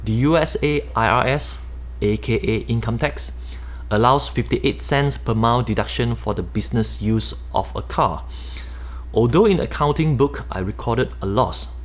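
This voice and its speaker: A Malaysian-accented man in middle age reads his own personal finance book aloud. His voice sits in an ordinary middle pitch, and he keeps a steady, explanatory pace, like an author walking readers through his points. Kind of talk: nonfiction audiobook narration.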